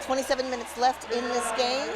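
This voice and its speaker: Upbeat higher-voiced woman commentator. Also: level tone, brisk, a crowd in the background. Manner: upbeat, reads out scores and chat notes. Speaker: color commentator. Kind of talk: live sports commentary.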